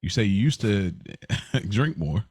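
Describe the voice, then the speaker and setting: A man's voice: on the low side, lively intonation, slow pace, darkish tone, husky, no echo, studio quality. A man co-hosting a podcast, talking candidly and reflectively in a casual, slangy way. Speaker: podcast co-host.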